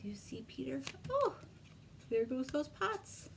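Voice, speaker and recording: A woman telling a story to young children as a librarian at storytime, expressive, with chatty and sometimes whispered asides to her listeners. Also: high voice, a little slow, gravelly. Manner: asks young listeners simple questions. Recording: noticeable background noise.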